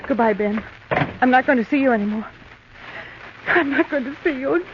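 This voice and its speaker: A woman's voice: high-pitched, brisk, close to an everyday voice. An actress playing a scheming young woman who feigns love in a melodramatic 1940s radio drama. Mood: tearful resolve. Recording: old muffled radio recording, faint noise.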